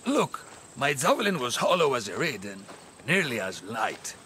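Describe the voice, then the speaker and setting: An actor playing an anxious, superstitious young male athlete in a video game, pleading and excitable. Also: mid-range voice, normal speed, a little hiss or hum. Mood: pleading, downcast. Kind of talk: video game character acting.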